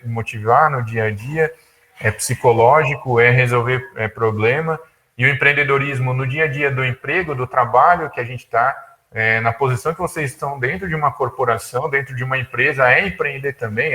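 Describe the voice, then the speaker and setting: A man of about thirty gives an informal talk, colloquial and halting, often repeating words as he thinks. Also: medium pitch, bright, forceful tone. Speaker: guest speaker, tech entrepreneur. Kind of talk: informal talk at an online conference.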